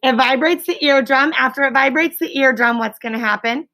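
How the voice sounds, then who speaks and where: Her voice sits high for a woman and has a noticeable fry to it. She speaks as a schoolteacher running an interactive lesson, explaining simply and prompting her pupils with questions in an encouraging manner. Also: fairly quick, bright, forceful tone. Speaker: schoolteacher leading a class.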